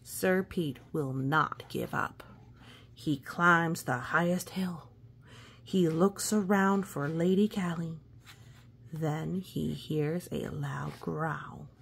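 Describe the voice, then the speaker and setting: Creaky-voiced woman reading to children. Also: deep voice. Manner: expressive, hushed suspense, often dropping to a whisper. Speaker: storybook reader. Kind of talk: children's read-aloud with gentle asides.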